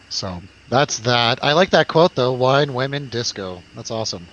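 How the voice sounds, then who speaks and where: A man's voice: medium pitch, a bit bright, muffled recording. A man hosting a casual film chat, joking around, hesitating and trailing off. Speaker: host of a film review show.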